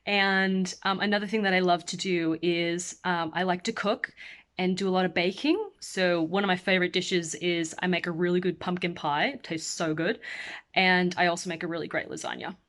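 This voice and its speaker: A woman's voice: on the low side, level tone, moderate pace, a bit bright. A young woman from Australia with a mixed Australian and American accent, a singer-songwriter chatting casually and upbeat while answering fan questions.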